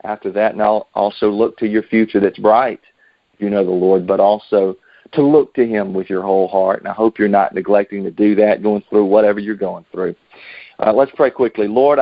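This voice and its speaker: A man's voice: lowish voice, no room sound. Man preaching informally over dull call audio. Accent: American. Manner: earnest, encouraging, conversational. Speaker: manager giving a devotional on a team conference call. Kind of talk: informal sermon, scripture exposition. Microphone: conference call line.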